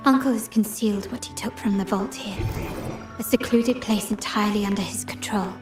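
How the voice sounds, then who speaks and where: A woman's voice: medium pitch, brisk, close to an everyday voice. An actress playing a composed young woman investigator in a video game, murmuring thoughtfully to herself as she searches. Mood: pensive, searching. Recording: cavernous long reverb, loud game music.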